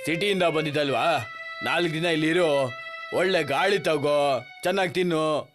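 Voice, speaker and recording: A man's voice: fairly high pitch, measured pace, natural voice. Guy as mean cartoon landlord, dismissive n curt, lightish voice, music under it. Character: heartless, scornful village landlord and moneylender. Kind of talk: animated moral story acting.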